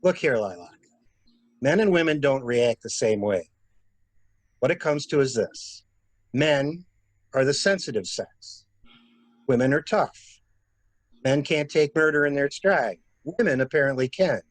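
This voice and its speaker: A man's voice: mid-range voice, lively intonation, unhurried, pauses often, no echo. A man playing a cynical, plain-spoken adviser in an amateur mystery play, blunt and wry. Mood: blunt, advising. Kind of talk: amateur murder mystery radio play acting.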